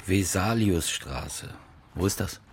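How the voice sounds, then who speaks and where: A man's voice: sits low, lively intonation, slow pace, a little hiss or hum. An actor playing a blunt, matter-of-fact male police detective who questions a witness in a radio crime drama. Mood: probing.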